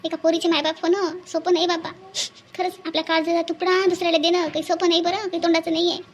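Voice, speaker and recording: A woman's voice: extremely high pitch, little pitch movement, fast talker, lo-fi sound, slight background noise. A woman voicing a doting, grumbling middle-aged village mother in a cartoon family skit, with a Varhadi Marathi accent. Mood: sorrowful, wistful. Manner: homely, scolding and fussing with rhetorical questions.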